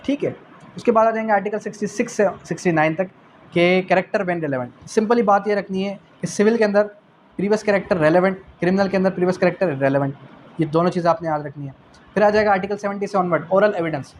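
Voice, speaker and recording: A man with a Pakistani accent teaches a revision class in a slightly high voice. He asks rhetorical questions, answers them himself and repeats key phrases, switching to English for technical terms. There is some noise behind him. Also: fast talker. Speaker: law teacher. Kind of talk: exam revision lecture.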